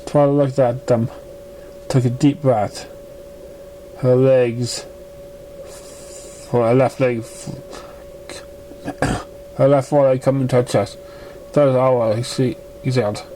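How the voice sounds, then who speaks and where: Man, story narration, relaxed dark timbre, stumbling reading, light noise behind. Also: mid-range voice, slow pace, pauses often, low-quality recording. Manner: stumbling, misreading words. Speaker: fan fiction reader. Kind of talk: story narration read aloud.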